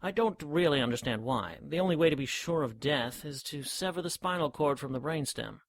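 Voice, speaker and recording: Precise, bookish gentleman scientist. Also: fairly high pitch, expressive, a little fast. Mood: matter-of-fact. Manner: formal, precise, pedantic. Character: bookish, rational gentleman scientist, composed and certain. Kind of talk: scripted audio drama dialogue.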